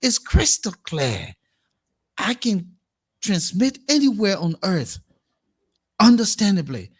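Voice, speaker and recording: A man's voice: high voice, sing-song, slow pace, slightly rough. A Liberian man with a Liberian accent hosting a current affairs talk show, speaking emphatically and repeating words as he builds his points.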